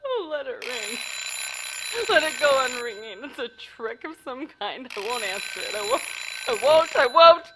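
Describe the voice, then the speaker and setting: An actress playing a nervous, demanding, indignant bedridden wife on the telephone in a 1940s-style radio play. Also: female voice, high-pitched, expressive, normal speed, background noise. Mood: hysterical. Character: imperious, hysterical bedridden invalid wife, frantic on telephone.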